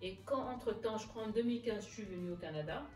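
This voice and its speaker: A woman with a mid-pitched voice recounts her experience casually as an interview guest. She often relays past exchanges in direct speech. She sounds some way from the microphone in a reverberant room, with faint music behind her. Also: fast talker, a little mellow. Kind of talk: casual personal storytelling. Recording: echoey room, distant mic, music underneath.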